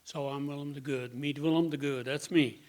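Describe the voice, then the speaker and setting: Man, 60s, Dutch accent, slightly high voice, plainspoken, halting testimony. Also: fairly even intonation, darkish tone. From Netherlands. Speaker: guest speaker. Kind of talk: personal testimony.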